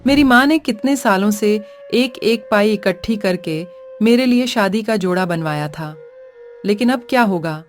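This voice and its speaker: A woman plays a young village daughter, sweet and dutiful, in a cartoon moral tale. Her voice sits at a middle pitch and her manner is caring. Faint music runs behind her. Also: animated, moderate pace, lightly acted. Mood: distressed. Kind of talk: animated moral story dialogue.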